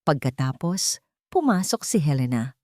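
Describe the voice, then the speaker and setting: A woman narrates a scripted drama in the first person. Her voice sits low, and the sound is dry, with very little room to it. She delivers the text as a storyteller. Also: sing-song, normal speed, a little mellow, no echo.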